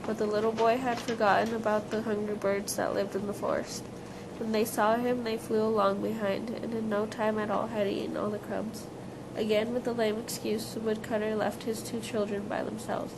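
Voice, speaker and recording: A woman narrator reads a scripted children's tale aloud. Her pitch is middling and stays quite flat, and there is clear noise in the recording. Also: normal speed, few pauses. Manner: reads aloud, stumbles on words. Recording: clearly noisy live recording.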